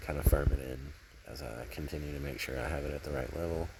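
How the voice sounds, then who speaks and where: A man's voice: rumbling low, fairly even intonation, fast talker, creaky, background noise. A man casually narrating hands-on garden work outdoors, rambling and thinking aloud as he goes, recorded on a handheld camera. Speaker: gardening vlogger.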